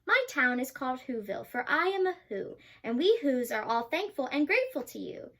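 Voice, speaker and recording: A woman's voice: sits high, sing-song, a little fast, exaggerated voice. A young woman voicing a tiny, grateful male mayor who speaks in a piping voice. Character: tiny cheerful male mayor of a speck town.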